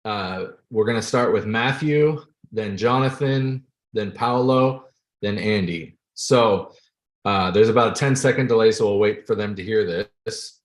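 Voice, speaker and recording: A male host running a live video chat, upbeat and quick to laugh. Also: fairly low pitch. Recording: echoey room.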